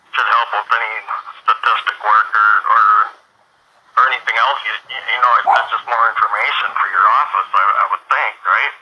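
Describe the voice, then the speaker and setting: A man conducting an informal phone interview, asking questions in a halting, repetitive way. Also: on the low side, fairly even intonation. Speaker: interviewer, community watch member. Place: telephone call. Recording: band-limited phone call with faint noise.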